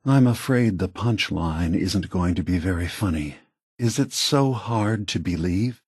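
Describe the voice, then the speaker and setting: An actor playing an aging prototype android leader in a video game, a gentle, philosophical idealist with a soft, husky voice and measured, earnest phrasing. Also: male voice, sits low, lively intonation, dry recording, studio quality, full character voice. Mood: patient, pleading. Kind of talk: acted video game dialogue.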